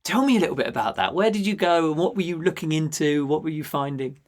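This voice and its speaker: A man's voice: fairly high pitch, expressive, fast. A man speaking conversationally as a podcast host, putting questions to a guest. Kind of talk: conversational interviewing.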